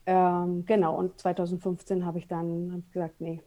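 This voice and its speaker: Chatty woman with a mellow voice. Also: on the low side, normal speed. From Berlin, Germany. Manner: open, lively storytelling with many fillers. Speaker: guest, photographer. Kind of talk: casual interview.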